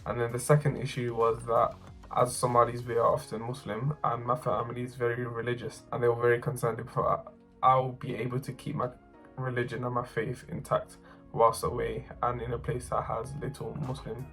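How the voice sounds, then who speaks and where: A young man, a student giving a plain, earnest personal account with short pauses. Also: medium pitch, completely flat delivery, a bit bright, soft background music. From North London. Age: young adult. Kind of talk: personal testimony to camera.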